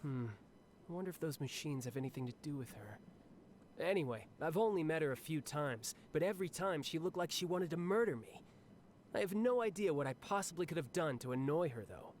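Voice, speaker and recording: A cocky young fighting man from a video game, played by a male actor, with a fairly high voice. He talks breezily and confidently, as if chatting, and relishes a fight. There is clear noise behind him. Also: expressive, fairly quick, close to an everyday voice. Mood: wry, amused. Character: cocky, battle-hungry, cheerful young male warrior officer. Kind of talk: scripted video game character monologue.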